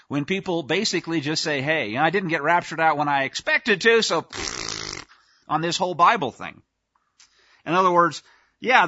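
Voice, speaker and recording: A man's voice: fairly high pitch, sharp tone, no echo, dull audio. A male preacher giving an informal teaching sermon in a folksy, conversational way with sarcastic asides.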